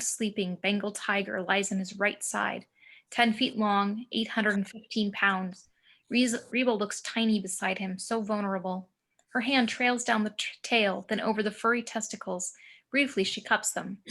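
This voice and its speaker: Bright-voiced woman narrating a screenplay. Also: mid-range voice, fairly even intonation, normal speed. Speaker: narrator of action descriptions.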